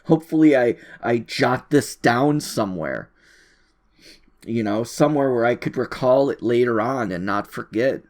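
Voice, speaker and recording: A man giving a casual, rambling solo audio log, thinking aloud in a halting, informal way and often repeating his phrases. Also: medium pitch, lively intonation, darkish tone.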